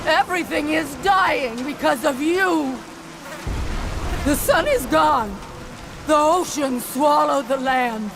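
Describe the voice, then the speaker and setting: A woman's voice: sits high, slow pace, low-quality recording. An actress playing a vengeful, scornful goddess queen in a video game cutscene, with a haughty, accusing, dramatic delivery. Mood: angry, despairing. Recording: loud music behind the voice.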